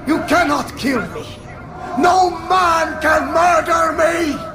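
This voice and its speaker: An actor playing a raging, power-mad tyrant in a video game, declaiming grandly. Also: male voice, very high voice, slow, raised voice, music in the background, a crowd in the background. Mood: enraged, defiant. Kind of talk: dramatic video game acting.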